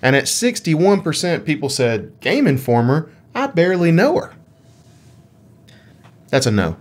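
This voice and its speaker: A man co-hosting a gaming podcast in casual chat, with an animated, jokey way of talking. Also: fairly high pitch, unhurried.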